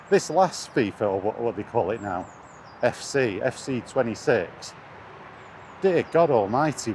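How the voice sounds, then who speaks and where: A man's voice: mid-range voice, a little mellow. Blunt British man ranting outdoors. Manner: blunt, sweary, scornful. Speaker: gaming vlogger. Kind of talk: casual spoken rant. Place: outdoors, walking along near traffic. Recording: outdoor street and vehicle noise.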